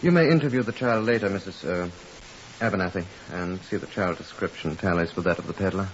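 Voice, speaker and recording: Man acting deep-voiced, formal police superintendent. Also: lightly acted. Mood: dry, questioning. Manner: formal, measured, matter-of-fact. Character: Scotland Yard superintendent, brisk, dry, middle-aged man. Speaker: narrating detective. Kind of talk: 1950s radio drama acting, first-person case narration. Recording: hissy, muffled 1950s radio recording.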